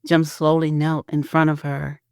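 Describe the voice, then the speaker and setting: A woman's voice: low-pitched, level tone, measured pace, a little mellow, clear, resonant tone, no room sound, clean studio sound. A woman narrating a scripted audio drama as storyteller.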